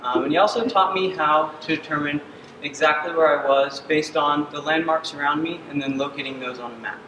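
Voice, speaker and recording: A young man from Uganda gives an earnest student presentation, his slightly high voice dotted with ums. He is picked up from a distance in a large hall with a long, roomy reverb. Steady ambient noise sits clearly under him. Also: brisk. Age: young adult. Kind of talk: senior capstone presentation. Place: dark stage in a hall.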